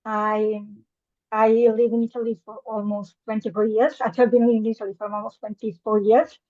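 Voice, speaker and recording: A woman's voice: on the high side, level tone, normal speed, no room sound, narrow, tinny audio. Hesitant Serbian-accented woman on a call. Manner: hesitant, many fillers and restarts. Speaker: course coordinator. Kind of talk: online meeting presentation.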